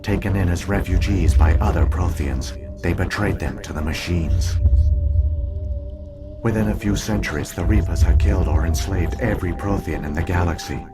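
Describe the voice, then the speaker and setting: Game role: ancient machine guardian, male actor, very low voice, formal, measured, weary gravity, over clear music. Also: lightly acted. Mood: grave. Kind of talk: scripted video game dialogue.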